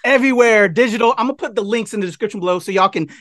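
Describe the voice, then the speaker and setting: A man's voice: high-pitched, very fast, a bit bright, no room sound, studio quality. A man hosting a casual video interview in an upbeat, colloquial way. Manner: upbeat, colloquial, says y'all. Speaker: interview show host. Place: indoors, on a video call.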